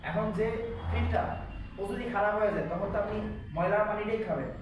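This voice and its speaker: A man's voice: high voice, fast. A man with a Bangladeshi accent teaching an interactive electronics repair class, explaining and often asking check questions. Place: classroom, indoors. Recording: echoey room, distant mic, background noise.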